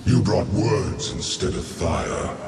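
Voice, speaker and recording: An actor playing a brutal alien warlord phantom in a video game, gravelly, menacing and scornful. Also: male voice, low voice, sing-song, takes it slowly, noisy background, exaggerated voice. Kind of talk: dramatic video game acting. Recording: loud music behind.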